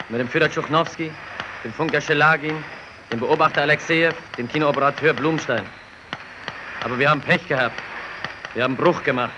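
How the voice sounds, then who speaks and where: A man acts an earnest aircraft radio operator in a vintage radio drama. The voice is a little high and the delivery deliberate and declamatory. Steady noise sits behind the old recording. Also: natural voice.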